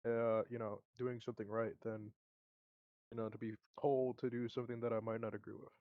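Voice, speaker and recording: A man's voice: mid-range voice, little pitch movement, fairly quick, no room sound, muffled recording, close to an everyday voice. A man playing a friendly, awkward Marine turned mercenary in a tabletop game, talking in a hesitant, conversational way. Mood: rueful, earnest.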